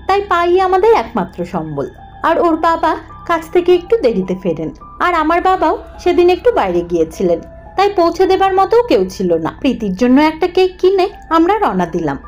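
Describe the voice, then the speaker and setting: Very high-voiced woman narrating a story. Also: big pitch swings, a little fast, lo-fi sound. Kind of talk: first-person scripted ghost story narration. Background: background music.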